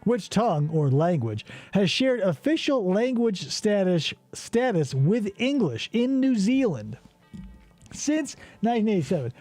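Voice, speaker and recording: A man hosts a comedic game show, rambling off on sarcastic, profane tangents. His voice sits high and swings widely in pitch, yet the tone stays soft and mellow. The recording is dry and close, with faint music underneath. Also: no room sound.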